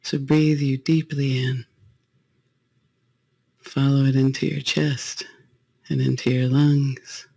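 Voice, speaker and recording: Male guided-meditation leader, gravelly and close in a dry space, gentle spiritual delivery. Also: mid-range voice, little pitch movement, takes it slowly, pauses often, dry recording.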